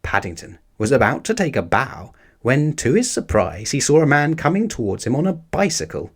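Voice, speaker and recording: A man narrates a picture book for young children. His delivery is that of an expressive read-aloud storyteller, with a middling pitch. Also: normal speed.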